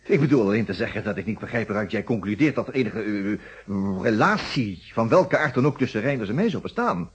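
An actor playing an articulate lawyer in a radio play, with a husky voice and a wordy, precise, animated delivery. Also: male voice, lowish voice, fairly quick. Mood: indignant. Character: articulate male lawyer, inspector's friend and narrator. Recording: muffled vintage radio play recording.

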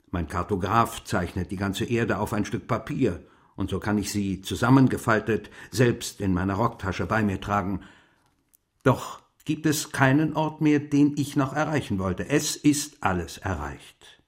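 Contemplative 18th-century explorer. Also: male voice, lowish voice. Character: reflective 18th-century French explorer, weary of travel, contemplative. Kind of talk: German radio play monologue, journal reading.

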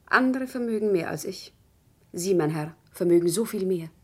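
An actress playing a humble, fervent commoner wife who pleads earnestly in formal diction, in a classic German radio play. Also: female voice, mid-range voice, animated, normal speed, mellow, husky. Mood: guarded.

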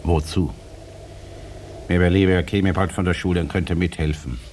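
Man doing gruff farmer guy in an old radio drama, real low, few words, no humour, bit of hiss. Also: steady tone, moderate pace, darkish tone, close to an everyday voice. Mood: dismissive. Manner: terse, gruff, matter-of-fact. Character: taciturn, hardworking, humourless farmer and father. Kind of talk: 1950s German radio drama acting. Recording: faint noise, old radio production.

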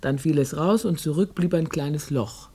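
Radio play: stubborn, eccentric old woman, lowish husky voice. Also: moderate pace, warm tone. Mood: dry, grumbling. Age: older. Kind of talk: radio drama acting.

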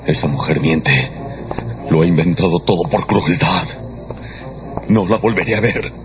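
An actor playing a humiliated, lovesick nobleman, brooding and desperate, in a radio drama. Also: low voice. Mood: bitter brooding. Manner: slow, anguished, imploring delivery. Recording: dull radio production with music underneath.

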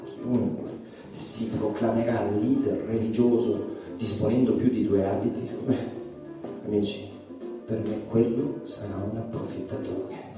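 Provocative stand-up comic played by a man, deliberate and mocking, theatrical; distant, reverberant sound over clear music. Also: lowish voice, fairly even intonation, close to an everyday voice. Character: irreverent, provocative male stand-up comedian attacking religion. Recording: echoey, distant, music behind.

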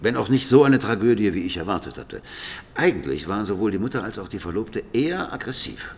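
An actor playing a calm, wry police detective in a radio crime drama, speaking in a measured, dry way. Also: male voice, lowish voice. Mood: matter-of-fact. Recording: faint background ambience noise.

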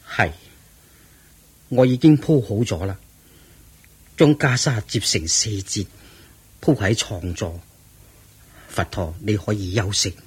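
A man's voice: fairly low pitch, slow pace, long pauses, slightly rough, heavily acted. An actor playing a reverent disciple monk in a radio drama, earnest, respectful and protective of his master. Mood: attentive, protective. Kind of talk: Cantonese radio drama acting.

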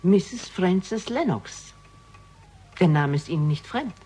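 A frank middle-aged widow, husky voice, swings up and down a lot, radio drama. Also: female voice, on the low side, lively intonation, takes it slowly, mellow, exaggerated voice. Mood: candid, wistful. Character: candid, quick-witted middle-aged widow of a poet. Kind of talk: 1960s German radio crime drama.

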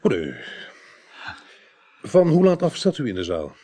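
An actor playing a polite, probing, slightly awkward police inspector in a Dutch radio drama. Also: male voice, medium pitch, wildly swooping pitch, a little slow, mellow. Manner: hesitant, courteous.

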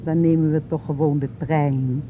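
A man's voice: little pitch movement, normal speed, natural voice. A male actor playing a fussy, anxious older spouse in a naturalistic radio drama, coaxing as they talk. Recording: tinny, noisy recording with vehicle rumble.

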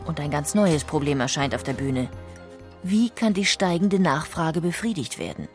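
A woman narrates a scripted documentary in a measured storytelling manner. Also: sits low, expressive, over music.

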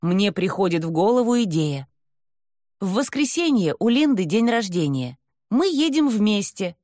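A woman's voice: medium pitch, a little mellow, dry recording, studio quality. A woman narrating a novel in the first person, reading expressively with a dramatic touch. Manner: dramatic, acts out dialogue. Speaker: audiobook narrator.

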